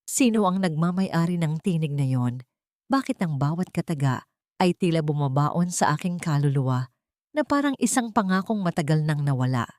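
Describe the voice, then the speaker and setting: A woman's voice: low voice, animated, moderate pace, a little mellow, no room sound, studio quality. A Filipino woman voicing an earnest, doubtful young man in love in an audio story. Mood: yearning.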